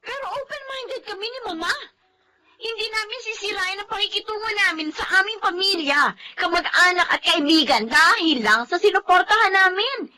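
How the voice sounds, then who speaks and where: A principled young sweetheart in a Filipino radio drama. Her high voice is earnest and emotional, full of disappointment. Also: lively intonation, normal speed, pushed and bright, heavily acted. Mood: upset, pleading.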